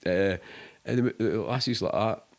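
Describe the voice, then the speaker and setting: Glasgow bloke, middle aged, deep-ish voice, chatty sweary storyteller acting out the dialogue. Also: male voice, a little slow, creaky. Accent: Scottish, Glasgow area. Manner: sweary, colloquial, acts out quoted speech. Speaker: podcast guest. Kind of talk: animated anecdotal storytelling, casual.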